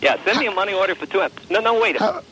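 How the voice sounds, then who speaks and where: Male phone-line psychic, fast and forceful, insistent, repeats himself, slightly noisy call. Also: sits high. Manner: insistent, halting, repeats phrases. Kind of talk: phone conversation.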